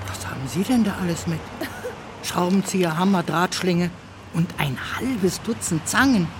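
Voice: medium pitch, lively intonation, moderate pace, natural voice. Actor as a resigned transit worker amid street noise. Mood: resigned, curious. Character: resigned, sympathetic transport employee at a ticket machine. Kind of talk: naturalistic radio play dialogue. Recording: noisy street scene with vehicle sounds.

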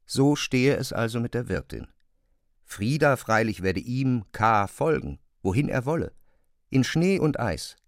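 A man narrating a literary classic as scripted audio drama, in a measured, precise manner. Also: medium pitch, expressive, dry recording, studio quality.

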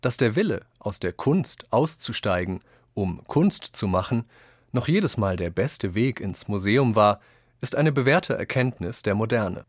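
A man's voice: lowish voice, animated, unhurried, no echo, studio quality. A man reading quoted passages from a script for a radio essay.

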